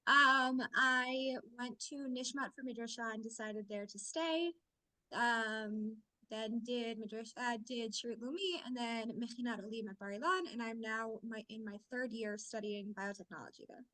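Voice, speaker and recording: Bright-voiced young woman student. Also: fairly high pitch, normal speed, no echo, low-quality recording. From Maryland, US. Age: 20s. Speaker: student panelist. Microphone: video call.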